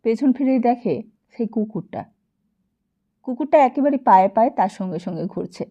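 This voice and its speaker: A woman narrating a novel as an audiobook in an expressive, dramatic storytelling style. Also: a little high, moderate pace, lo-fi sound.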